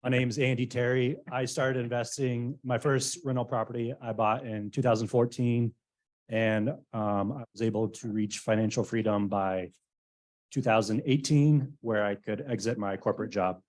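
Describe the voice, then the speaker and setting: A man's voice: mid-range voice, steady tone, normal speed, a little mellow. A man speaking on a meeting panel, plain and matter-of-fact, with many ums. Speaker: panelist, real estate investor. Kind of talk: casual panel talk.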